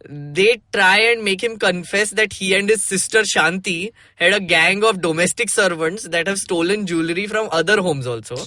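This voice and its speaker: A man's voice: high voice, moderate pace, few pauses, sharp tone, no echo. A man with an Indian accent hosting a podcast, reading aloud and explaining casually, with some Hindi mixed into his English. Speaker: podcast host. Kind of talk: casual podcast talk with dramatic read-aloud.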